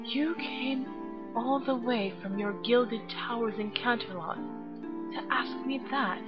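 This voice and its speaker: An emotional, high-pitched young cartoon mare. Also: female voice, slow pace, lo-fi sound, over loud music. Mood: furious. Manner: stammering when flustered, shrill when angry. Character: young female candy-shop pony, fiery, heartbroken, easily flustered.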